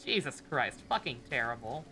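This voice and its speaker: A woman's voice: a bass voice, big pitch swings, normal speed. A woman doing casual live game commentary as a streamer, chatty, sarcastic and sweary. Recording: game music behind voice. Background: video game music.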